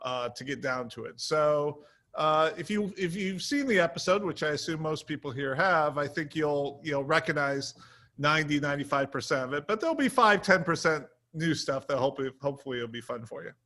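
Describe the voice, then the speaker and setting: Higher-voiced man with a bright, dry sound. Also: a little fast, no echo. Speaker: TV series co-creator, interview guest. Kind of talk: casual conversational interview answers.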